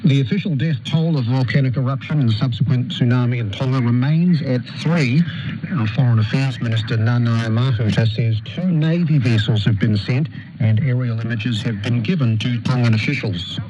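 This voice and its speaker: A man reads a scripted news bulletin in a New Zealand accent. His voice sits at an average pitch. It comes over a muffled shortwave signal with clear static noise. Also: moderate pace, mellow. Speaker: radio news reader. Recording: noisy, dull shortwave radio reception.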